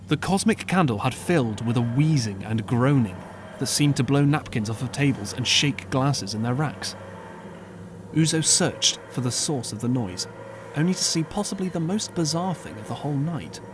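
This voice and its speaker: A man narrating an audiobook as scripted storytelling, measured and earnest, with dramatic pauses. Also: mid-range voice, animated, background noise. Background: clear background music.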